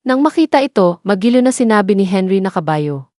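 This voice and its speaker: A woman's voice: mid-range voice, animated, a little fast, a little mellow, no room sound, studio quality. A woman with a Filipino accent narrating a scripted children's bedtime story. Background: music bed.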